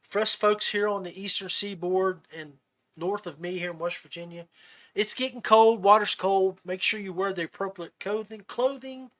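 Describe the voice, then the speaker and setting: A man with a notably high voice hosts a casual podcast. His tone is bright and forceful. He talks in a folksy way, addressing people like old buddies. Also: normal speed. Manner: folksy, buddy-like, says brother and man. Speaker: podcast host. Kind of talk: casual chat.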